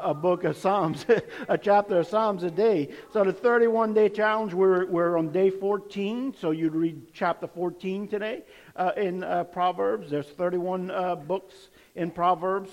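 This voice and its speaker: A male pastor with a hoarse voice, preaching a casual, energetic sermon to his congregation in a church sanctuary. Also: sits high, big-room sound, dull audio. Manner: energetic, calls for praise with amens.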